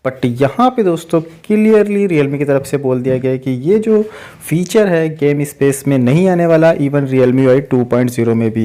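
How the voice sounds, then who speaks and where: Higher-pitched, mellow Indian man explaining tech. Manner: conversational, addresses listeners as friends. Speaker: tech channel host. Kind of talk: explanatory tech Q&A narration to viewers.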